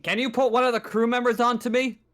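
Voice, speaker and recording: A man playing an exasperated space station comms operator in a tabletop game, halting and prone to swearing. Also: very high voice, level tone, a bit bright, pitched above the performer's own voice.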